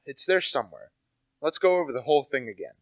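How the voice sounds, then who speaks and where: A young man playing a precise, brooding, intellectual gentleman detective in a radio play, deliberate and theatrical in delivery. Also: mid-range voice, big pitch swings, no room sound. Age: young adult.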